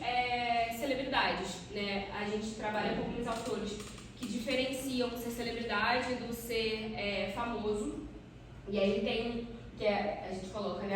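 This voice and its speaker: High-voiced Brazilian woman in a very echoey room. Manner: informal asides, frequent tag questions. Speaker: doctoral student presenting research. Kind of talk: academic conference presentation, semi-spontaneous. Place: echoey indoor room at academic conference. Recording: echoey room, distant mic, clear noise.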